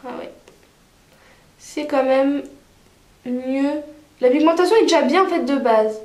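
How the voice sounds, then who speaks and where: A woman's voice: high-pitched, measured pace, a little mellow, reverberant. A woman vlogger with a cold, chatting casually through a makeup tutorial with many fillers. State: stuffy with a cold. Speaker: beauty vlogger.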